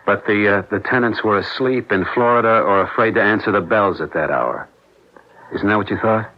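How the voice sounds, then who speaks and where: An actor playing a suspicious husband who questions his wife in a measured, accusatory manner, in the style of 1970s radio drama. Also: fairly low pitch, natural voice. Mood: accusing, controlled. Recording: dull lo-fi 1970s radio broadcast.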